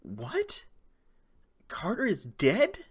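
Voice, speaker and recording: A man playing a nervous, evasive suspect in an audio crime drama, with a husky, hesitant delivery. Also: high-pitched, wildly swooping pitch, extremely slow, heavily acted. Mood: shocked. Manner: hesitant, stammering. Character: nervous, evasive male suspect under questioning.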